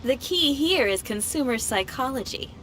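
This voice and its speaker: Eager young female shopkeeper, high lively voice, courteous and businesslike game dialogue. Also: moderate pace. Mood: polite, businesslike. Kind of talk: video game dialogue acting.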